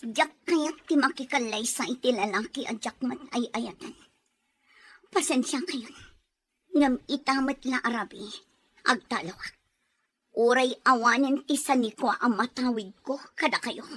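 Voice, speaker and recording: Woman acting young working gal in an audio drama, highish voice, sincere n stubborn, emotional delivery. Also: a little slow, a bit bright, husky. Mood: pleading, defiant.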